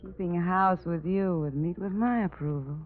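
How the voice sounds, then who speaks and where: A woman's voice: on the low side, normal speed, lightly acted. A woman playing a cool, flirtatious nightclub woman with an American accent and a sultry, knowing manner in a vintage radio drama. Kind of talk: 1940s-50s radio detective drama acting. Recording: dull vintage radio recording with music.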